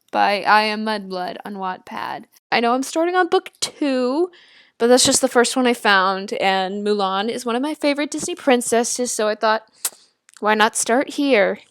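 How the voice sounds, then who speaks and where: A woman's voice: fairly high pitch, a bit bright, clear, resonant tone, no echo, studio quality. A young woman reading and commenting in a casual, informal, slightly amateur way, with a giggly manner. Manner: giggly, informal, stumbles over the text and rereads. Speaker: video host. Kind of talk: casual reaction commentary and amateur read-aloud of fan fiction.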